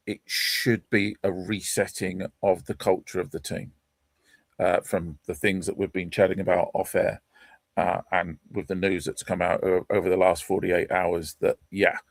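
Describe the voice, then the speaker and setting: A British man co-hosting a casual podcast, talking in a measured, slightly hesitant way. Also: on the low side, a bit bright, no room sound. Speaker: podcast co-host.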